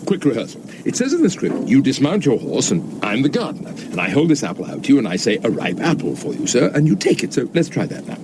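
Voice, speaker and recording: An actor playing a pompous, self-important museum curator who speaks in a wordy, theatrical, over-enunciated way. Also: male voice, mid-range voice, wildly swooping pitch, fast, few pauses, background noise. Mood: bossy, fussy. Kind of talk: sitcom acting.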